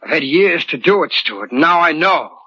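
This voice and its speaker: A bitter, lovesick twin brother in a 1940s radio thriller. His voice is piercingly tense and husky, brooding with self-pity, on a dull vintage broadcast recording. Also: a little high, expressive, normal speed, bright, forceful tone, full character voice. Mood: feverish resentment. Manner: intense, brooding, self-pitying. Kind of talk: 1940s radio drama acting.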